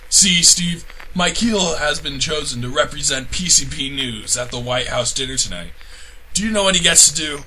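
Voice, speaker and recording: A man playing a smug, bright-voiced newsroom boss. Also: medium pitch, slight background noise, poor audio. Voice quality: sarcastic, swears when angry. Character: bossy smug male newsroom chief, quick to rage. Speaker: news editor boss. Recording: music playing behind.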